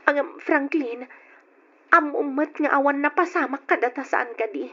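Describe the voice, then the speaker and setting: An actress playing a young, long-suffering but outspoken wife in an old radio drama, plaintive and reproachful, on a lo-fi recording. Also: female voice, sits high, normal speed, fairly bright tone, faint music behind. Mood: defensive.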